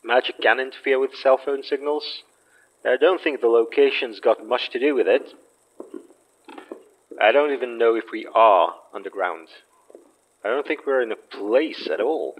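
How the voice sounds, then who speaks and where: A man playing a wry, protective boss of paranormal investigators in a horror audio drama, with a dry, measured delivery. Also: mid-range voice, highs cut off, natural voice. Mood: dry, teasing.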